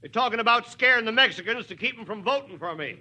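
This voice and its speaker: A stubborn small-town American mayor, a man with a high-pitched, very sharp and forceful voice. He speaks plainly and wryly, like a folksy orator in an old 1940s radio play. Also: lively intonation, quick pace, heavily acted. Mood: wry, challenging. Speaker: radio drama actor.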